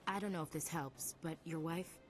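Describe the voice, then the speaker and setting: An actress playing a kind female soldier who speaks gently and consolingly. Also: low voice, fairly even intonation, moderate pace, soft background music, close to an everyday voice. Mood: gentle.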